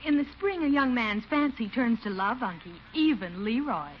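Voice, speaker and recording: A woman plays a lighthearted young niece in a 1940s radio comedy, teasing her family in a high, lilting voice. The sound is muffled, like an old broadcast. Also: lively intonation, moderate pace, slight background noise, close to an everyday voice.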